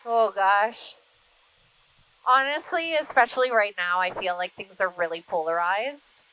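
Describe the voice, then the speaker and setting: A woman who works in broadcasting, answering interview questions conversationally in an animated storytelling way. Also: mid-range voice, measured pace, smooth, rough recording. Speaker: interview guest, media broadcaster.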